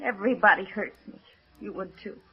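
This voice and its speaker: A woman's voice: medium pitch, fairly quick, a crowd in the background, natural voice. An actress playing a desperate, distrustful young woman in a 1950s radio drama, tense, defiant and emotional. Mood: bitter, defiant. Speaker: radio actor. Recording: muffled old radio recording.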